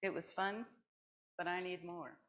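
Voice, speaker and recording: A woman's voice: on the low side, fairly even intonation, a little slow, fairly bright tone. A woman presenting at a worship service, reading short personal stories aloud to a congregation.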